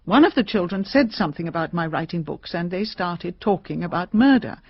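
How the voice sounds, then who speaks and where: A woman's voice: fairly low pitch, animated, fairly quick, slightly rough, dry recording. A shaken British lady novelist, close and dry. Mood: earnest, confiding. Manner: hesitant, confiding. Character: shaken middle-aged lady mystery novelist, talkative, insistent. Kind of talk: radio drama acting. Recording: slightly dull, dry radio recording.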